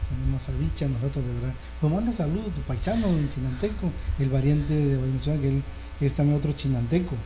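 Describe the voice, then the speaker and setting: Fast-talking, dark-voiced host. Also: male voice, medium pitch, slight background noise, poor audio. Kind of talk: casual talk show conversation. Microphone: handheld mic.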